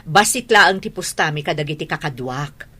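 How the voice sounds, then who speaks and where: A woman's voice: low-pitched, lively intonation, normal speed, bright, forceful tone, breathy edge, full character voice. A woman acting in a radio drama as a defiant, wheedling wife and mother who gambles. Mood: defensive.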